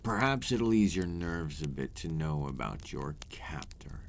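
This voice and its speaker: A brooding, remorseful vampire captor played by a man speaks close to the mic in a very low voice. He tells his story slowly and formally, like a weary confession in an ASMR roleplay. A noticeable low rumble sits behind him. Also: expressive, vocal fry, full character voice. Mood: soft, guarded. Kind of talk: intimate ASMR roleplay monologue.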